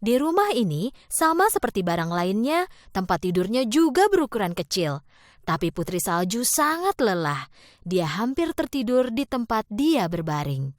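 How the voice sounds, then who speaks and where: A woman narrating a children's fairy tale from a script, in an expressive storytelling manner. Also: medium pitch, normal speed, no echo, clean studio sound.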